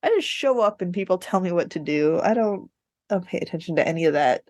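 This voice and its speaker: A woman's voice: fairly low pitch, animated, fast, a little mellow, no room sound. A woman co-hosting a podcast in casual chat, with a wry, self-mocking, joking way of talking. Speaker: podcast co-host.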